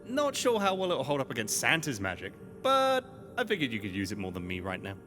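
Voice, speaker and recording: A man's voice: medium pitch, wildly swooping pitch, fairly quick, sharp tone. A man playing a loyal, slightly anxious young adventurer's sidekick in an audio drama, earnest and questioning. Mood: earnest, helpful. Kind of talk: scripted audio drama dialogue. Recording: long reverb, music behind.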